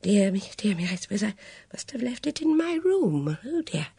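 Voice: medium pitch, big pitch swings, moderate pace, gravelly, mellow, breathy edge, no room sound, voice pushed up, exaggerated voice. A reader voicing a fussy elderly woman who mutters to herself in a half whisper. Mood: flustered.

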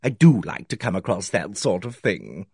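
A man reading aloud, voicing an effusive, fussy older male village gossip who talks in a theatrical, drawn-out way. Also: sits low, sing-song, darkish tone, slightly rough, dry recording, clean studio sound, voice pushed down, full character voice. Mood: gushing.

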